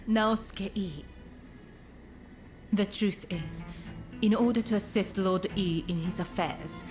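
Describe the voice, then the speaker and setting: A woman's voice: medium pitch, natural voice. An actress playing a refined, discreet woman informant in a samurai-era video game, speaking politely and persuasively over music. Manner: polite, formal, softly persuasive. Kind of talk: video game cutscene acting.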